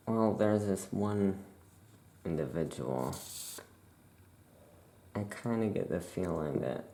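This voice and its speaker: A very low, gravelly voice speaks softly in an ASMR roleplay as a laid-back witch shopkeeper. The delivery is very slow and casual, with hesitant, drawn-out musing. A faint noise sits behind the voice. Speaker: ASMR performer role-playing a witch shopkeeper. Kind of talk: soft-spoken ASMR roleplay.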